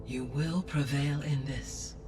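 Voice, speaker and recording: A woman playing a calm, motherly artificial intelligence in a video game, speaking in a measured, formal and precise way. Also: low voice, recorded from a distance, over music. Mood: reassuring. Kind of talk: video game cutscene acting.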